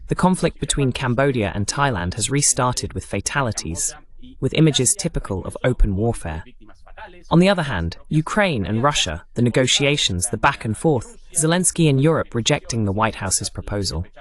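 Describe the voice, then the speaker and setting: A man hosting a political talk show, speaking in a formal, measured announcer style as he introduces and moderates. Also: medium pitch, very animated. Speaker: host. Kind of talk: scripted introduction and moderating questions, translated voice-over.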